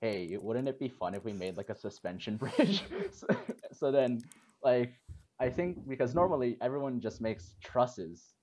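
A man of twenty in a casual group chat, telling a relaxed story and laughing often. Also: medium pitch, moderate pace, a little hiss or hum.

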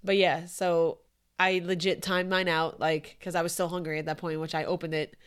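Low-voiced woman from Chile, bantering. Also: level tone, smooth. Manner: teasing, laughs easily, quick banter. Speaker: podcast co-host. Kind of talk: casual chat.

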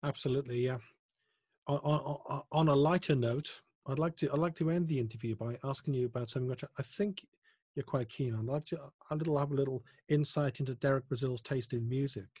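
A man's voice: medium pitch, brisk, a little mellow, breathy edge, no echo, clean studio sound. A male host interviewing a guest in a friendly way, often stumbling over restarts of his words. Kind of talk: casual interview.

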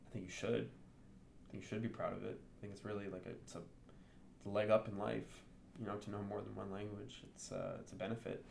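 A man's voice: fairly low pitch, flat delivery, fast, vocal fry, darkish tone, slight background noise. A native English-speaking man talking candidly and thinking aloud in a casual interview. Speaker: interview guest. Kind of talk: casual conversation.

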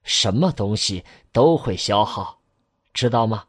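A man's voice: fairly low pitch, animated, a little slow, husky, no room sound, clean studio sound. A man playing a patient, tender father explaining things to his children in a science-fiction radio drama. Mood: gently explaining.

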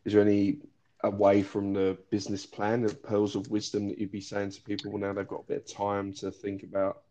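A man's voice: fairly low pitch, monotone, brisk, warm tone, poor audio. A man with an English accent hosting a podcast, chatty and affable, with jokey asides. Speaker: podcast host. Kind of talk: casual interview chat.

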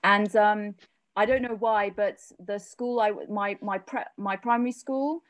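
A woman's voice: mid-range voice, fairly even intonation, unhurried, dry recording. An English woman chatting casually, enthusiastic and full of fillers. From England. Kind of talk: casual chat.

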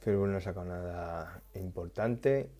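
A man chatting casually about tech news, halting often and correcting himself as he goes. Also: low voice, mellow. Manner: halting, restarts and self-corrections, musing. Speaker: tech vlogger.

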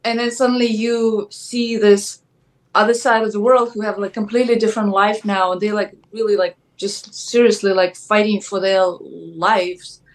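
A woman with a Ukrainian accent, chatting as a guest in an interview, soft-spoken and full of "like" fillers. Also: mid-range voice, fairly even intonation. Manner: soft-spoken, many "like" fillers, thoughtful pauses. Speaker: actress guest. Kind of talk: casual interview chat.

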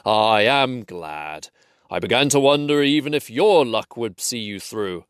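Man voicing an old wizard, hearty, commanding, slow, dry close sound. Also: fairly high pitch, animated, a bit bright, dry recording, pitched above the performer's own voice. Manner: hearty, unhurried.

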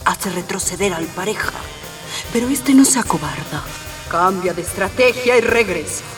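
A woman's voice: mid-range voice, animated, normal speed, music in the background, lightly acted. A woman playing an entranced seer in a radio drama, giving dramatic play-by-play of visions. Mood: tense. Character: entranced female seer narrating a spirit battle. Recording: reverberant, distant.

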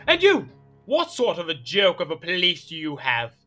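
A man's voice: high-pitched, huge pitch swings, a little slow, a bit bright, soft background music, full character voice. An actor playing a petulant, imperious young king in an audio drama, whiny and theatrical. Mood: outraged, petulant. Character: petulant, excitable young French king, easily outraged.

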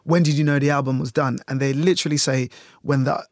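A man's voice: mid-range voice, steady tone, fast talker, no echo, studio quality. A man talking casually and candidly in an interview, telling personal anecdotes and often acting out quoted remarks. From Jamaica, later moved to the UK. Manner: candid, chatty, often voices imagined quotes. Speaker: radio journalist being interviewed.

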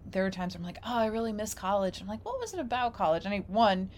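A woman in casual, reflective conversation, telling stories in an animated way and acting out imagined quotes. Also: medium pitch, fast talker, a little hiss or hum.

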